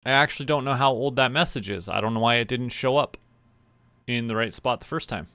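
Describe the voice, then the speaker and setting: A man's voice: mid-range voice, fairly quick, sharp tone, clear, resonant tone, no room sound. A man chatting casually on a livestream, rambling to himself as he goes about small tasks. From Canada. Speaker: streamer.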